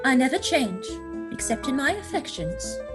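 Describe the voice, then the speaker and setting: A woman as a gushing, high-voiced society maiden. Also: big pitch swings, normal speed, over music. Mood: adoring. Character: romantic, self-assured young English society lady. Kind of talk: stage comedy dramatic reading. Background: musical score.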